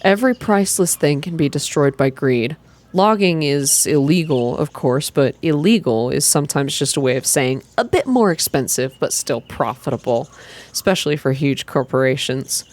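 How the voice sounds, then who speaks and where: Woman voicing a grave, low, mystical forest activist man. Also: expressive, normal speed, darkish tone. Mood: grave, impassioned.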